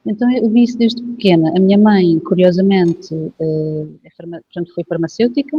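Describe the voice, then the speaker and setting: A woman from Portugal with a European Portuguese accent, a guest herbalist telling her story in a relaxed, hesitant way with many fillers. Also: lowish voice, fairly quick, breathy edge. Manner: reflective, hesitant, with frequent filler phrases. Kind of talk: casual interview conversation. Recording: dull, dry lo-fi recording.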